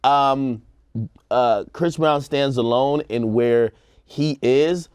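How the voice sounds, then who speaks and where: A man's voice: medium pitch, slow pace, darkish tone, clean tone, no room sound, studio quality. A man with an American accent giving casual opinions as a talk show co-host, slangy and acting out quotes. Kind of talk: casual opinionated panel chat.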